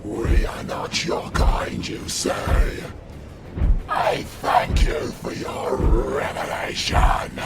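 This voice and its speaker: A man voicing a menacing, wrathful gargoyle chieftain in a fantasy audio drama, with a growling monster voice and bombastic, threatening archaic speech. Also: very slow. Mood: scornful fury. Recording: loud music behind the voice. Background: loud music.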